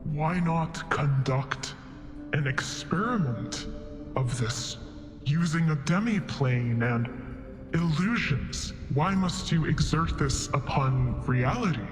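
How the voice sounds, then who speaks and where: A man playing a solemn, benevolent god in a fantasy roleplay, speaking in a grave, stately and measured manner. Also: fairly high pitch, animated. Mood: solemn questioning. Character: ancient, solemn, benevolent god weighing cosmic order. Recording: long reverb with music behind.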